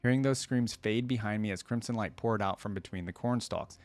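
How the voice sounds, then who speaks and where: Man reading as a haunted, low-voiced village survivor. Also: a little mellow, no echo, natural voice. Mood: haunted, dread. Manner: halting, dramatic storytelling in short phrases. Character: terrified haunted village militiaman, lone survivor.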